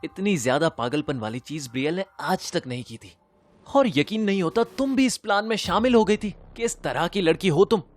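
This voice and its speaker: A man playing a sincere, wounded teenage boy in an animated story, speaking earnestly and expressively. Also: high voice, normal speed. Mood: shocked, hurt. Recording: music behind the voice.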